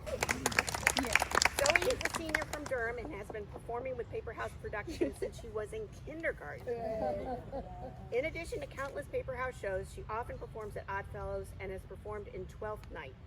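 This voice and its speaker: Woman hosting amid loud outdoor noise. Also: on the high side, normal speed. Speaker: event host. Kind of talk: introducing performers.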